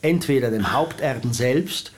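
An actor playing a formal, courteous lawyer in a radio drama, speaking in a measured, legalistic manner. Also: male voice, mid-range voice, lively intonation. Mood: officious.

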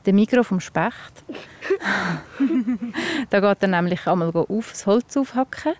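A woman's voice: medium pitch, unhurried, a little mellow. A woman with a Swiss German accent, a forest ranger explaining nature to a child in spontaneous speech outdoors.

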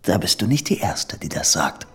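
A man's voice: deep voice, very animated, moderate pace, fairly bright tone, faint music behind, heavily acted. An actor playing an obsessive, lovesick young man in a radio play, intense, moving between whispers and outbursts. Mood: bitter. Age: young adult.

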